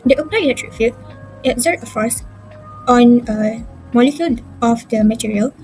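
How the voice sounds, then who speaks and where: A woman's voice: fairly high pitch, normal speed, darkish tone, faint music behind, poor audio. A young woman reading a scripted class presentation as a student.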